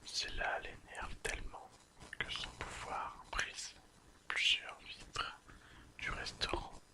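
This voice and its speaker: An ASMR creator whispering softly and soothingly while reading a summary aloud in an unhurried way.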